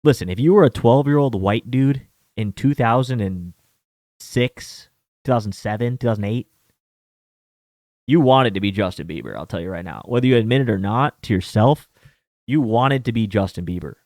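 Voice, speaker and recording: A man's voice: on the low side, lively intonation, normal speed, darkish tone, no room sound, clean studio sound. A man around thirty hosting a sports betting show, talking in a rambling, casual way with many fillers and some mild swearing. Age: 30s. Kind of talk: casual chat.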